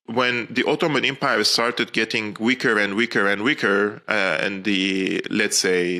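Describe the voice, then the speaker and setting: A man's voice: on the low side, bright, forceful tone. A man born and raised in Syria, a podcast guest giving long, earnest answers with frequent restarts. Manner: earnest, explanatory, many fillers and restarts. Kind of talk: interview answers.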